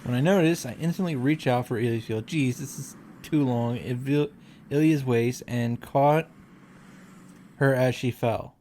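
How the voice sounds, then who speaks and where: A man's voice: medium pitch, a little slow, warm tone, slight background noise. A man reading a visual novel aloud and reacting casually, often restarting and repeating himself as he goes.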